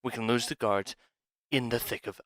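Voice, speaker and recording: An eager young thief acted by a man in an ASMR roleplay, with a bright, forceful voice of medium pitch. He is urgent and clingy, stammering with obsessive devotion. The recording is dry and close. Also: steady tone, moderate pace, dry recording, lightly acted.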